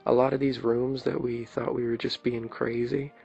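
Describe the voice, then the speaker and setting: A man with a low raspy voice giving an ASMR gameplay tour as a video creator, talking softly in a hesitant, rambling way. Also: little pitch movement, normal speed. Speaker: video creator showing his game builds. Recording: dull lo-fi mic, clear background music. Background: game music.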